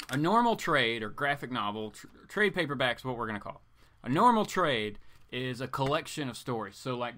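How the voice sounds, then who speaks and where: A man's voice: mid-range voice, sharp tone. Casual, rambling man hosting a stream. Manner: informal, playful asides, swings between exclaiming and muttering. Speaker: live stream host. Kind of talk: casual rambling chat, reading viewer comments.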